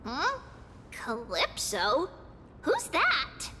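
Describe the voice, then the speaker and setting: A woman voicing a tiny, cheerful, excitable childlike girl in a video game. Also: high voice, extremely slow, long reverb tail, slight background noise, full character voice. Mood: excited, cheerful. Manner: excitable, refers to self by name.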